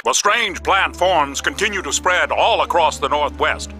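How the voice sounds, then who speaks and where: An actor playing a serious news announcer reading an emergency bulletin in a video game. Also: male voice, fairly high pitch, expressive, measured pace, over loud music. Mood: urgent, formal.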